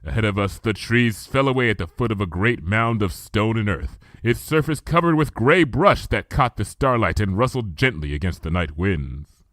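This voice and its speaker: A man reading a fan fiction story aloud, calm storytelling narration. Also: on the low side, normal speed, no room sound. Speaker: reader. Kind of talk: first-person audiobook narration.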